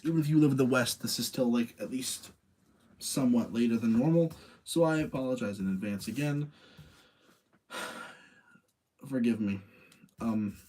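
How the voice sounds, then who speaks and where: Male streamer, mid-pitched voice, casual and rambling, apologetic delivery. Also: dark tone. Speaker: livestream host. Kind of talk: casual livestream chat.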